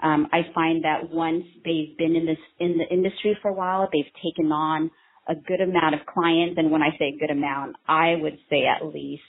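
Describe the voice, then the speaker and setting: A woman's voice: sits low, little pitch movement, normal speed, slight background noise. A woman in her thirties from Vietnam, a brow artist and trainer, answering interview questions in an earnest way with many ums and restarts. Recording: tinny phone-like line.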